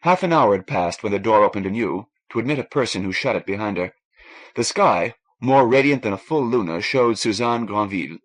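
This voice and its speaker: A man's voice: fairly low pitch, animated, brisk, poor audio. A man reading a novel aloud in a scripted, literary narration style. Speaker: audiobook narrator.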